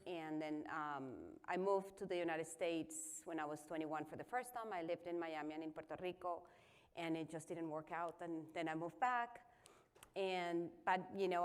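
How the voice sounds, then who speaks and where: Woman in her fifties from Colombia, low voice, candid panelist sharing her story. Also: clear, resonant tone. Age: 50s. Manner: candid, self-deprecating, chatty. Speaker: panelist, chamber of commerce president.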